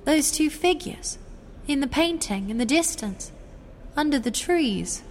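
Adventurer's young female sidekick, woman acting: high, animated, plucky, radio serial style. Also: unhurried, a little hiss or hum. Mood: urgent.

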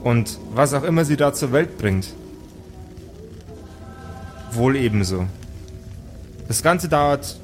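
A male game master tells a fantasy scene slowly and deliberately, breaking it into short dramatic phrases. His mid-pitched voice sits over prominent background music. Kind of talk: improvised dramatic narration for a tabletop audio play.

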